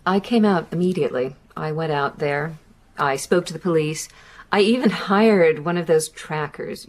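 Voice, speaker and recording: An actress portrays a grieving, middle-aged American mother in a radio drama. Her voice sits a little low. She tells her story in a reflective, measured way, pausing over her memories. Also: natural voice. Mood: sorrowful.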